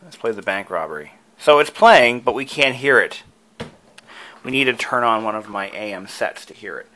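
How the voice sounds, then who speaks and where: A man's voice: on the low side, expressive, moderate pace, pushed and bright. A man presenting a hobby tutorial, casually talking through each step with enthusiasm.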